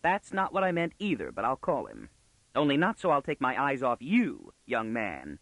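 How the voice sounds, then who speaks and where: A man's voice: medium pitch, wildly swooping pitch, normal speed, vocal fry, fairly bright tone, no room sound, full character voice, rough recording. A man voicing a precocious, self-assured young girl in a storybook, prim, bossy and easily indignant.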